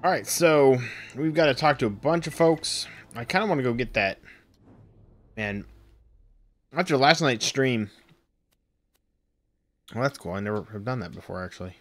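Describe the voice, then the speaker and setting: A man in his thirties with a raspy, sore voice, rambling through casual live-stream commentary at home with lots of ums. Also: medium pitch, lively intonation, moderate pace, pauses often, a bit bright. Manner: rambling, full of ums and uhs. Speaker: game streamer.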